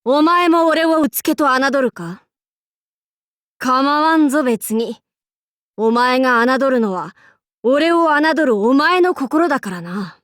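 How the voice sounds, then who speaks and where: A woman's voice: high voice, expressive, slow, fairly bright tone, no echo, clean studio sound, exaggerated voice. A woman voicing a bold, androgynous young demon-king warlord in an anime game, with boastful, archaic lordly speech and playful swagger. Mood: proud, resolute. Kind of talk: anime game character voice lines.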